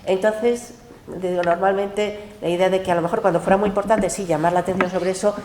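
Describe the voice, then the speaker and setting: A lively, candid woman speaking as a translator at a book club. Her voice is mellow and slightly low, with a roomy echo and faint noise behind. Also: brisk. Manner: lively, candid, laughs often. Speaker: literary translator.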